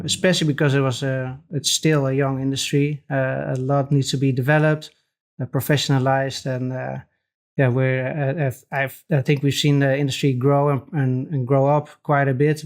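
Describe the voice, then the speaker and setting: A man from the Netherlands with a Dutch accent, a podcast guest talking casually with frequent hesitant pauses. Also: medium pitch, flat delivery. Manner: hesitant, many pauses and restarts. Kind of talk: casual interview chat.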